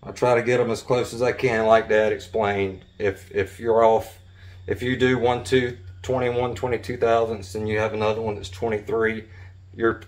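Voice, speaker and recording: A middle-aged man giving a hands-on demonstration in a wood-walled workshop, explaining each step plainly with some restarts. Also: lowish voice. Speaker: sawmill blade technician.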